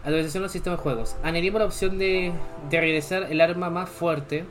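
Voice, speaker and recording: Man, young adult, from Chile, streamer, reading patch notes, casual asides, slightly high voice, faint background music. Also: fairly even intonation, fast talker, fairly bright tone. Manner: casual, chatty asides between read-out items.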